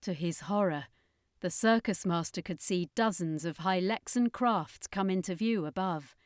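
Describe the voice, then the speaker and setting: A woman's voice: lowish voice, a little slow, no room sound, studio quality. A woman reading an adventure story as narrator, in a dramatic scripted storytelling style.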